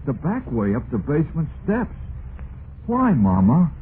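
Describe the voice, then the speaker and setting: A man's voice: on the high side, wildly swooping pitch. An actor playing a simple, trusting son who longs for his mama, plaintive and folksy in an old-time radio drama. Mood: anxious, overjoyed. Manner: slow-witted, plaintive, folksy. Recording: tinny, noisy vintage radio recording.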